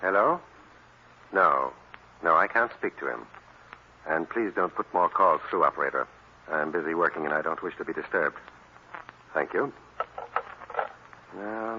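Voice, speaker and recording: A fussy husky professor guy, stammery and indignant, lowish voice, old dull radio recording. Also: unhurried, pauses often, a little hiss or hum. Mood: preoccupied, irritated. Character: flustered, indignant scholarly male professor. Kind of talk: 1940s radio acting.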